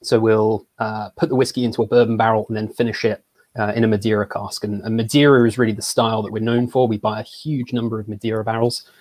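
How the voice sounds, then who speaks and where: A young English man from Surrey, a brand ambassador presenting in an online tasting, relaxed and hesitant with many fillers. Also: lowish voice, quick pace, no room sound. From England (Surrey). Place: small home room. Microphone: video call.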